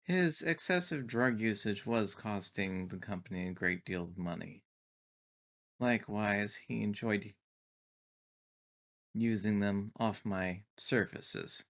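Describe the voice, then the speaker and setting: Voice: low-pitched, takes it slowly, fairly bright tone, heavily acted. A player voicing a synthetic android in a tabletop roleplaying game, speaking in formal, stilted, clinical wording with detached, naive curiosity.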